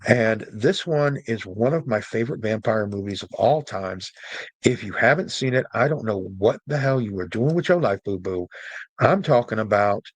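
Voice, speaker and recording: A man's voice: on the low side, expressive, moderate pace, dry recording. A man hosting a casual, humorous talk on media, conversational and jokey with emphatic asides. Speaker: host, storyteller presenting to an audience.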